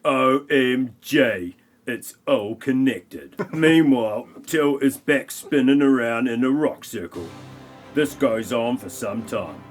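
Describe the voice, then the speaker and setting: Wry man narrating, medium pitch. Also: takes it slowly, faint music behind. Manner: wry, mocking asides. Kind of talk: comic narration of amateur audio play.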